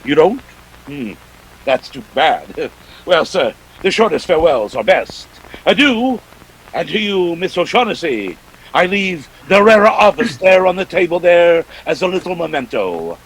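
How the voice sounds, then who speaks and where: Un uomo che interpreta un boss criminale pomposo, lento e teatrale. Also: male voice, a little high, very animated, measured pace, a little hiss or hum, low-quality recording. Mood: jovial, composed. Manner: florid, theatrical, courtly speech with chuckles. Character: jovial, pompous, scheming fat older male crime boss. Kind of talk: 1940s detective radio play acting.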